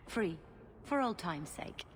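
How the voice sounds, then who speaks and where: An actress voicing a genteel married potion seller in a video game, polite, poised and a little coy. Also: female voice, fairly low pitch, expressive, slow pace, long reverb tail, slight background noise. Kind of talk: video game character dialogue.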